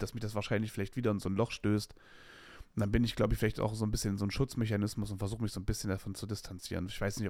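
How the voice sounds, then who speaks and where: A man's voice: on the low side, a little mellow, no echo, clean studio sound. A man in his late twenties hosting a podcast, talking openly in a rambling, candid way full of slang and restarts. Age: late 20s. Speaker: podcast host. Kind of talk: casual personal monologue.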